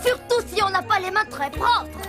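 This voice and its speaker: Cheerful, inquisitive little female cartoon tool: extremely high voice, eager to learn, over music. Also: lively intonation, normal speed. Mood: helpful. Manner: perky, inquisitive. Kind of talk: children's cartoon dubbing. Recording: children's music behind the voice.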